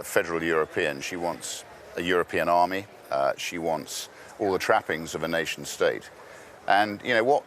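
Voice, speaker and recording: A man's voice: deep voice, fairly bright tone, slight background noise. A man giving a political interview, arguing firmly and stumbling over repeated words as he pushes his points. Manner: emphatic, repeats words when pushing a point. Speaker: interview guest. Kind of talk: political debate.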